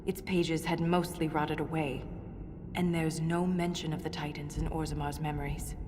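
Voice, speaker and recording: An actress playing an earnest dwarven scholar in a video game, speaking in a measured, scholarly way. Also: female voice, low-pitched, level tone, background noise, close to an everyday voice. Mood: intrigued. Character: earnest female dwarven scholar exploring underground ruins. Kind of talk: video game cutscene acting. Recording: long cavernous reverb, music underneath.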